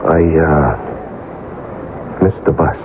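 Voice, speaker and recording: An American actor playing a battered, wry and guarded market analyst in a radio drama, speaking in a hesitant, laconic way. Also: male voice, a bass voice, slow. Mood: guarded, reflective. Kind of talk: 1980s radio drama acting. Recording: tinny, noisy old radio broadcast.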